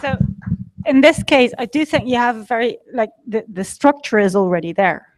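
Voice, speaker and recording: A woman's voice: on the high side, expressive, moderate pace, poor audio. A woman leading a storytelling workshop, coaching a participant with probing, encouraging questions.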